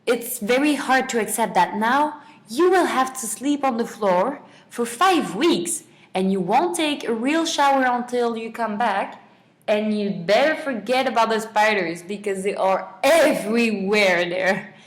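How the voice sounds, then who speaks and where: Young female student, slightly high pitch, semi-prepared interview guest delivery. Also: unhurried. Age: young adult.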